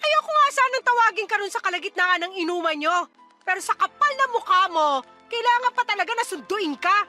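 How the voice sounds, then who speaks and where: Bossy middle-aged mom in radio drama, very high bright voice, scornful n naggy. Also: female voice, animated, brisk, soft background music, full character voice. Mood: angry. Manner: sharp-tongued, scolding, dismissive. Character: brash, scornful middle-aged mother, nagging and bickering. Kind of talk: Tagalog radio drama acting.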